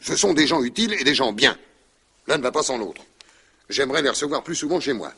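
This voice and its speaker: A man's voice: mid-range voice, animated, fairly quick, bright, forceful tone, husky, heavily acted. An actor playing an exasperated, logic-minded husband in his thirties, in a 1960s radio drama. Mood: complaining. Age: 30s. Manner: reasoning, exasperated, hesitant.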